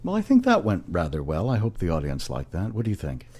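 A man with a husky voice chatting casually with a colleague in a studio. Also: sits low, huge pitch swings, fast, a little mellow, faint music behind. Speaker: actor and director. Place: recording studio.

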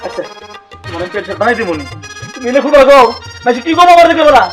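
A harried young husband, played by a man, talks fast and loud, pleading in exasperation. It is broad village comedy acting over background music. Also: very high voice, sing-song. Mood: placating.

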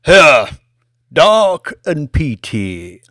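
Audiobook role: grandiose ancient English lord, raised swooping pitch, imperious and excitable, drawn-out phrasing. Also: male voice, dry recording, studio quality, exaggerated voice. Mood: delighted. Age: 169 years old. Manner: theatrical, drawn-out, exclamatory delivery. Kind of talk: audiobook character acting.

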